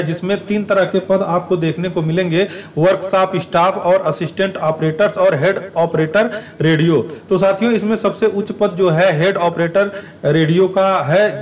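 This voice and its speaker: High-pitched man explaining, distant mic. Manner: repeats and rephrases points to explain. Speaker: exam information presenter. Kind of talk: explanatory talk to exam aspirants.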